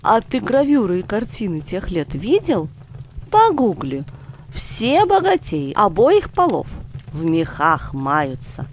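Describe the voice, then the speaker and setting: Storybook pensioner grandad, played by a female narrator: learned, teasing, mock-formal, very expressive delivery. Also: medium pitch, slow, slight background noise, heavily acted. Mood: instructive. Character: bookish, ironic, bald pensioner grandfather. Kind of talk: audiobook character dialogue.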